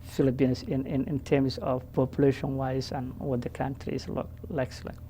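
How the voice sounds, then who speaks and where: Female host, very low and gravelly, halting delivery full of fillers. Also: little pitch movement, dark tone, slight background noise. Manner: halting, many fillers and restarts. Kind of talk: casual interview.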